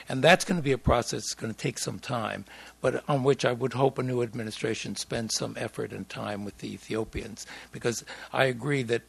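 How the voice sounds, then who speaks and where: A man speaks on a discussion panel, measured and hesitant, stopping often with fillers and restarting his sentences. His voice is gravelly and husky, with a rough, breathy edge. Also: medium pitch. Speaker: panelist on foreign policy.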